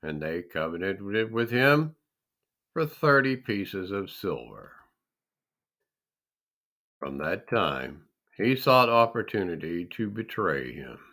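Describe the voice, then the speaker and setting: Male scripture reader: solemn and deliberate, very unhurried, with a bright, forceful tone and dramatic weight. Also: low-pitched, sing-song.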